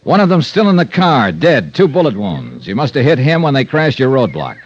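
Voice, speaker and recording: Wry, self-assured American private investigator, 1950s radio noir, expressive mid-range voice, casually persistent, muffled archive sound. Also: male voice, medium pitch, a little fast. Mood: brisk, assertive. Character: confident, wry hard-boiled insurance investigator. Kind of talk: 1950s radio detective acting and narration. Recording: dull old radio recording.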